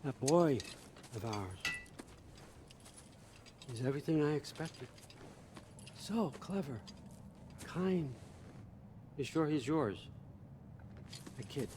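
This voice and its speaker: An actor playing a manipulative, grandiose god in a video game, with a deliberate, theatrical and needling delivery. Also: male voice, mid-range voice, animated, warm tone, slight background noise. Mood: coaxing. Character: smooth-talking manipulative god, mocking and grandiose. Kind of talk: cinematic video game acting.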